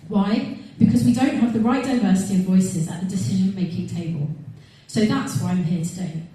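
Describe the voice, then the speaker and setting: A woman with a southern English accent addresses a hall, heard through heavy echo and from a distance. Her tone is mellow and earnest, and she builds her campaign speech in measured, rhetorical lists. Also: mid-range voice. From Wimbledon, London, England. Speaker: Green Party election candidate. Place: large community hall with audience. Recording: very echoey hall, distant mic.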